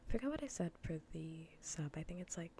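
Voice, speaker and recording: A woman streaming in a soft, breathy, often whispered ASMR voice, chatting informally and playfully close to the mic. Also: on the low side, expressive, fast, a little hiss or hum. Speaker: streamer hosting a live cozy gaming broadcast. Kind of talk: soft-spoken ASMR casual chat. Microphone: close ASMR microphone.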